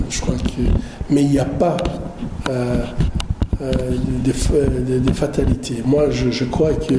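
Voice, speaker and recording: A man giving political commentary in an interview, speaking deliberately and often searching for words. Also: mid-range voice. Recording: roomy echo, clearly noisy background.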